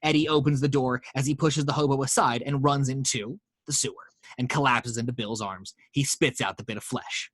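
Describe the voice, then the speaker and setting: Male narrator, mid pitch, steady read with small slips, dry. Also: a little fast, breathy edge, dry recording. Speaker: narrator reading action lines.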